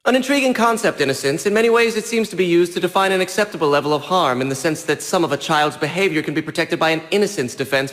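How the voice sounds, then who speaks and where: An actor playing a haughty, eccentric young genius villain in a video game, with a light youthful voice and precise, wordy, theatrical diction. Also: male voice, a little high, a bit bright, roomy sound, close to an everyday voice. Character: small, prim, eccentric genius villain, smug and condescending.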